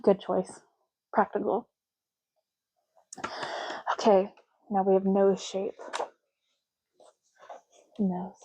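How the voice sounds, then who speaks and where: A woman doing a soft-spoken ASMR roleplay as a clerk, explaining options in a casual, chatty way. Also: medium pitch, flat delivery, extremely slow, pauses often, slightly rough, rough recording. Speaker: ASMR creator playing a clerk making ID cards.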